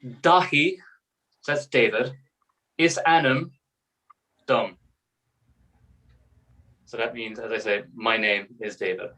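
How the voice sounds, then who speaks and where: A man's voice: medium pitch, slow pace, long pauses, bright, forceful tone, recorded from a distance, low-quality recording. A man from Ireland with an Irish accent, chatting easily as a guest and laughing often. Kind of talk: casual video call chat.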